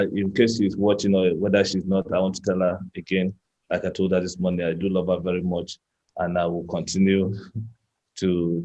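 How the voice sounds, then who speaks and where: A Nigerian man in his fifties speaks in a low, mellow voice as a guest speaker, in a chatty and personal way. He often restarts his sentences and repeats words. The recording sounds muffled and lo-fi. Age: 50s. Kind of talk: personal business talk.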